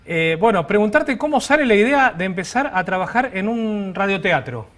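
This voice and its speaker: A man's voice: high voice, lively intonation, normal speed, a bit bright. A man with an Argentine accent co-hosting an interview in a casual conversational way. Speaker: interview co-host.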